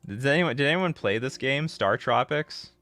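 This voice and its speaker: A man in his thirties hosting a casual livestream, answering viewer questions in a rambling, excitable way, often restarting his sentences. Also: medium pitch, normal speed, faint music behind. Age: 30s. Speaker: livestream host.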